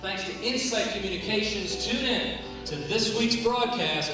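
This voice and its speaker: A man's voice: high voice, expressive, a little slow. A man hosting a show, delivering a promotional announcement from a theater stage. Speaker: show host. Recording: echoey hall, distant, music behind.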